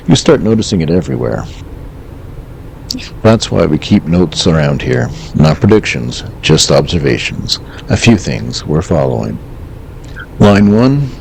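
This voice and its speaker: A man acting as a late-night radio host with a low, creaky voice. He is calm and dryly wry, delivering eerie scripted monologues in a measured, reflective way. There is faint noise behind him. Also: exaggerated voice, poor audio. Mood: hushed, ominous.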